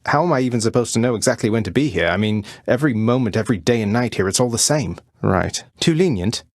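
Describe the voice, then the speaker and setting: An actor playing a young, rebellious prince of the underworld in a video game, earnest and wry, with polite understatement and dry sarcasm. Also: male voice, mid-range voice, animated, quick pace, no room sound. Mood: exasperated, sarcastic. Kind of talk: video game character voice lines.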